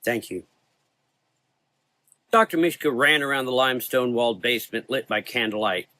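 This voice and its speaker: A man's voice: medium pitch, fast talker, pushed and bright. A man narrating a scripted gothic horror story as a storyteller.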